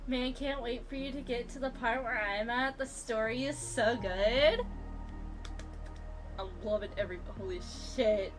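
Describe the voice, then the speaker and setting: A woman's voice: fairly high pitch, unhurried, music in the background. A woman game streamer giving casual live reactions in a blunt, informal, sweary way.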